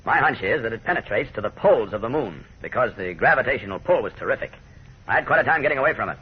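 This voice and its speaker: Assured male superhero, fast-talking, very rough husky voice, 1940s radio drama, muffled old recording. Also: medium pitch, animated. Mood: assured, explaining. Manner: brisk, assured, matter-of-fact. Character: confident, steady heroic male superhero. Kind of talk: 1940s radio serial acting.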